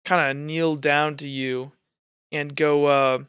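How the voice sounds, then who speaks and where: Male game master, fairly high clean voice, improvising story narration in stop-start phrases. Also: flat delivery, fairly bright tone, clear, resonant tone, dry recording, highs cut off. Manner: halting, pausing mid-phrase.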